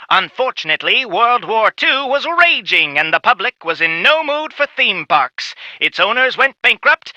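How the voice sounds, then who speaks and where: Bright, high-voiced tour announcer. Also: male voice, dry recording, exaggerated voice. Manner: theatrical, presentational. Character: showy theme-park audio tour announcer. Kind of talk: scripted tour narration.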